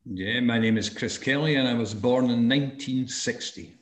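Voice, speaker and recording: A Scottish man in his sixties speaks at an ordinary pitch for a man. He talks thoughtfully over a video call and often pauses with ums and restarts. His voice carries the echo of the room he sits in. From Scotland. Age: 60s. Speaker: interviewee, arts and health practitioner. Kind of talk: oral history interview.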